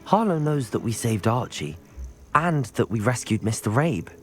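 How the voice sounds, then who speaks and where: An actor playing a loyal, supportive young student wizard who speaks in a calm, steady way. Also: male voice, medium pitch, normal speed, soft background music, natural voice. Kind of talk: video game voice acting.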